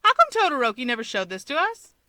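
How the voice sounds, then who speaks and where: A woman voicing a friendly, polite and excitable teenage boy hero in a story reading. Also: sits high, fast, dry recording, pitched above the performer's own voice, exaggerated voice. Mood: excited. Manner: eager, polite.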